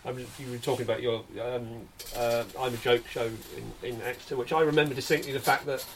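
A man hosting a live conversation, starting hesitantly and then talking quickly, recorded a little away from the mic with faint noise. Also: mid-range voice, flat delivery, distant mic, low-quality recording. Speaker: host, interviewer. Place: live bookshop event.

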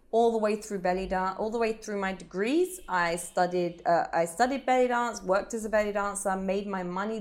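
A woman's voice: medium pitch, darkish tone. Frank woman telling her story. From England. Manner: frank, emphatic, with frequent restarts. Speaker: professional belly dancer, interviewee. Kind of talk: candid personal storytelling in an interview.

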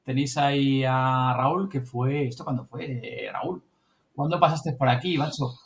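A man's voice: mid-range voice, measured pace, creaky. A man with an accent from Spain hosts a casual podcast chat, prompting guests with questions. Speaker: podcast host.

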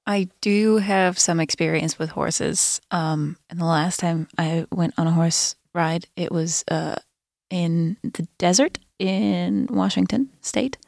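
A woman's voice: fairly low pitch, steady tone, takes it slowly, gravelly, hardly any pauses, darkish tone, dry recording, studio quality. A woman from the United States chatting casually as a guest improviser. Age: late 20s or older. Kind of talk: casual chat. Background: faint music.

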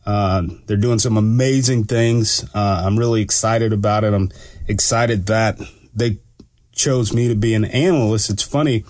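A man hosting his own radio talk show, sounding a bit stuffy from allergies and talking in a relaxed, rambling way with lots of fillers. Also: lowish voice, a little slow, no room sound, studio quality. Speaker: radio show host and law enforcement analyst. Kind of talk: casual solo radio talk.

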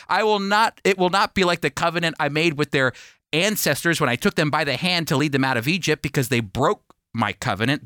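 A man's voice: on the high side, bright, forceful tone, dry recording, clean studio sound. A man hosting a radio Bible program, teaching in an emphatic, explanatory, preacherly manner.

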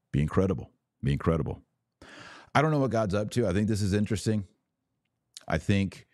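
A man's voice: deep voice, a little mellow, dry recording, studio quality. A man hosting a podcast, giving casual religious commentary in a colloquial, musing way with punchy, repeated short phrases. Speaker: podcast host.

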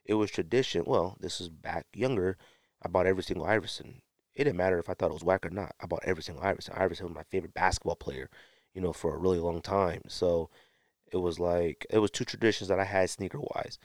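American male podcast host, middle-aged, lowish register, dry close sound, slangy and conversational. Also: fast talker, no room sound. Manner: conversational, slangy, emphatic repetition. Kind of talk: casual solo podcast talk.

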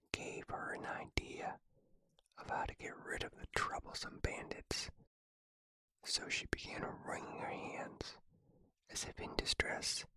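A reader narrating a story entirely in a deliberate, unhurried whisper. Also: extremely high pitch, sharp tone. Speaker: audiobook reader.

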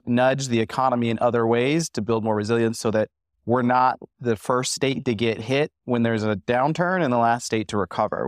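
A man speaking as an economist on a panel, analytical and measured. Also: medium pitch, dry recording, studio quality. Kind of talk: panel discussion.

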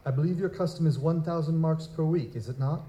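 Man playing a dark-toned, formal young baron. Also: fairly high pitch, highs cut off, lightly acted. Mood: solemn, determined. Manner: formal, aristocratic, deliberate phrasing. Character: formal, grieving young nobleman baron, courteous and determined. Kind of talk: live audio drama acting.

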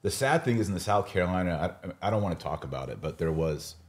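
A man with a notably low voice hosts a podcast discussion. He talks informally and drops in slang, keeping the exchange conversational. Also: animated, fast talker. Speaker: podcast host.

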